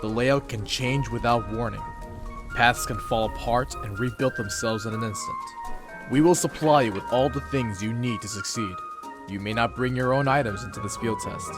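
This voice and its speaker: A man's voice: medium pitch, normal speed, music in the background, close to an everyday voice. A man voicing a strict, proud male bird guild assistant in a fan comic dub, formal and lecturing. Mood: stern.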